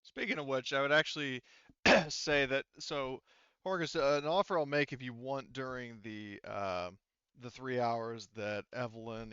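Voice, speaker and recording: A man's voice: medium pitch, sharp tone, clear, resonant tone, dry recording, highs cut off. A man acting as game master, talking casually and informally with some swearing at a tabletop game.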